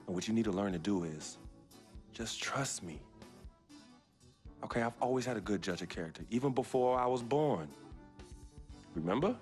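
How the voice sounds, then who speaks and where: A man's voice: lowish voice, lively intonation, darkish tone, faint music behind, natural voice. A man playing an earnest young ballplayer. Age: young adult. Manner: earnest, heartfelt, measured. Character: young male basketball star, loyal and earnest. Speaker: game protagonist. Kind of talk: video game cutscene acting.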